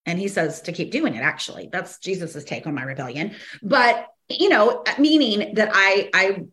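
A woman hosting a teaching podcast, slightly hoarse, talking in a rambling casual way with many fillers, restarts and short laughs. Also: mid-range voice, expressive, moderate pace, fairly bright tone. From American South. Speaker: podcast host and ministry teacher.

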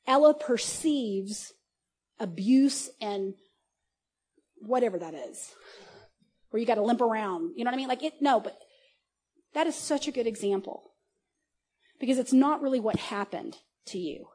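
A woman in her forties giving a casual, conversational sermon at a church service, animated, often laughing and restarting her sentences. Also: on the high side, a little slow, long pauses, breathy edge. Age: 40s. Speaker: preacher.

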